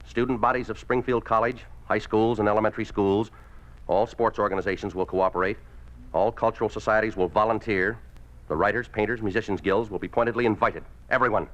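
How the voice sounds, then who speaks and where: An actor playing a ruthless, domineering communist party boss in a 1950s radio drama, speaking in a curt, commanding way. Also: male voice, fairly low pitch, a bit bright, close to an everyday voice. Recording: muffled old 1950s radio recording.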